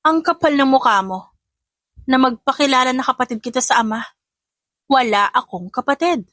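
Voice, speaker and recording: A high-pitched, haughty young heiress as acted out by a woman narrator in an audio drama story. She is spoiled and sharp-tongued, hitting her words with sarcastic emphasis. The sound is close and dry. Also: normal speed, no echo. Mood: haughty, annoyed.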